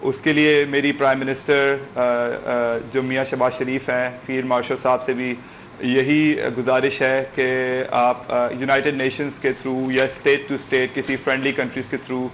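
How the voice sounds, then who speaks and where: A man's voice: mid-range voice, level tone, unhurried, fairly bright tone. A man speaking methodically but with hesitations in an interview appeal, using many English technical terms. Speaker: bereaved relative being interviewed. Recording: faint background noise.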